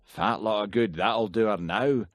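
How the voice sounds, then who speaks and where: A man's voice: on the low side, unhurried, no room sound, clean studio sound, pitched above the performer's own voice, close to an everyday voice. A man reading an audiobook, voicing a grudging, heartless detective who makes sardonic remarks. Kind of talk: audiobook character voice.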